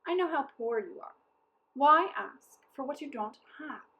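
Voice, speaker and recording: A woman's voice: sits high, lively intonation, unhurried, lo-fi sound, voice pushed up. A woman voicing a confident, wily male army captain in a storybook, speaking with courteous, persuasive emphasis. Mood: coaxing.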